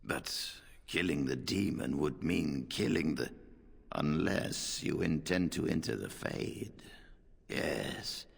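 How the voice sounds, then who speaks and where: A man playing a grave, learned mage in a video game, speaking formally and deliberately in a gravelly voice. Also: rumbling low, animated, heavily acted. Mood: grave, measured. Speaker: game character.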